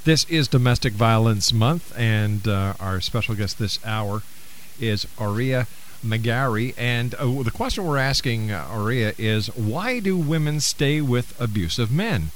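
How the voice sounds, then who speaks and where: A man hosts a live radio talk show in a mid-pitched voice, pausing and hesitating as he goes. The broadcast recording carries a faint hiss. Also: animated. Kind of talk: live radio hosting with sponsor reads.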